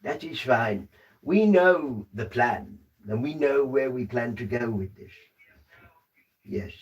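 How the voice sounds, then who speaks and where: A man's voice: mid-range voice, expressive, takes it slowly, recorded from a distance, muffled recording. A man in a trance voicing a formal, old-fashioned male spirit guide who speaks through him at a séance. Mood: measured. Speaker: trance medium. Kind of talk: seance trance communication.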